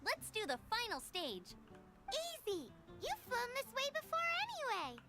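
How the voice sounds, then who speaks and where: A woman's voice: very high voice, huge pitch swings, a little slow, full character voice. A woman voicing a perky, encouraging young female gliding instructor in an anime game, chirpy and eager. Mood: eager, upbeat. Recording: game music behind the voice.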